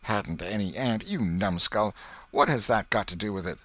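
A man voicing a stern but soft-hearted old aunt who scolds and exclaims. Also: fairly low pitch, animated, moderate pace, dry recording. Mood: scolding.

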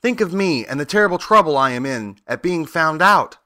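A man's voice: fairly high pitch, lively intonation, brisk, pushed and bright, no echo, studio quality, voice pushed up. A man voicing a meek little old fraud of a wizard in a storybook, nervous and pleading in a trembling voice. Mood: sheepish, explaining.

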